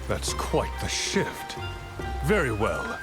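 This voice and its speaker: A man's voice: mid-range voice, huge pitch swings, takes it slowly. An actor playing a proud noble warlord in a video game, a man speaking with lordly command. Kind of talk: dramatic game dialogue. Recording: music and rain behind.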